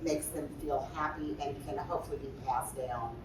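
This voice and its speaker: Big-voiced woman with a low, emphatic voice. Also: steady tone, normal speed, reverberant, far from the mic, background noise. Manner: emphatic, forthright, with many restarts. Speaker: interior designer on a panel. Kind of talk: panel discussion at a trade show.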